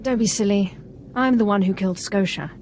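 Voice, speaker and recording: A woman's voice: mid-range voice, a little fast, a little mellow, slight background noise, natural voice. A woman playing a self-assured, ruthless young Sith apprentice in a video game. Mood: exasperated.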